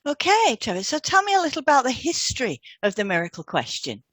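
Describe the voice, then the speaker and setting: A woman's voice: high-pitched, wildly swooping pitch, quick pace, fairly bright tone, no room sound. A woman co-hosting an educational podcast, reading scripted explanations in an instructive manner. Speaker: podcast co-host and hypnotherapy trainer. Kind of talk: scripted educational podcast dialogue, read aloud.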